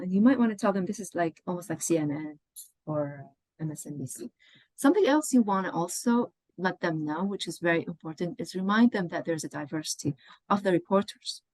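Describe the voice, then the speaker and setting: Low-pitched woman teaching, dry close sound. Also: darkish tone, no echo, rough recording. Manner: conversational, often checks in with "okay" and "you know". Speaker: Arabic language professor. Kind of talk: informal online teacher-training lecture. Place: indoors, online workshop over video call. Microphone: video call microphone.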